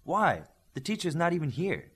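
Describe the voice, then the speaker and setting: A man's voice: fairly high pitch, huge pitch swings, normal speed, fairly bright tone, slightly rough, voice pushed up, heavily acted. A man voicing a restless, cocky young male superhero in audiobook dialogue, impatient and daring.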